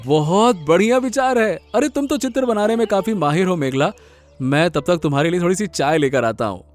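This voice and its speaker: A man playing a devoted, admiring young son in a Hindi cartoon village story, warm and encouraging. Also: high-pitched, lively intonation, fairly quick, faint music behind.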